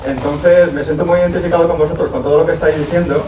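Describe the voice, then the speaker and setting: A man's voice: a little high, fairly even intonation, very fast, background noise. A middle-aged man from Valencia in the audience at a conference Q&A, praising the authors with effusive enthusiasm. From Valencia, Spain. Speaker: audience member. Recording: echoey hall from a distant mic, crowd behind.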